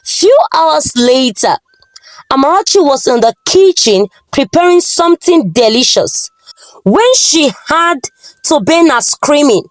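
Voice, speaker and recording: Nigerian female folktale narrator, slow pace, very lively pitch, close dry sound. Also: no echo, poor audio. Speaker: storyteller.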